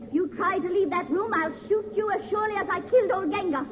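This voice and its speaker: An actress playing a cold, ruthless murderess who gives imperious orders in a 1940s radio drama. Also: female voice, extremely high pitch, fast talker. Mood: commanding. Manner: imperious, coolly menacing. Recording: muffled, noisy 1940s radio recording, reverberant.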